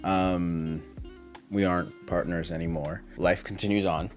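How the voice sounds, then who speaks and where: Man, twenties, deep low pitch, casual vlog monologue, hesitant, reflective, rambling, soft guitar music underneath. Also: fairly even intonation, unhurried. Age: 20s. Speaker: content creator.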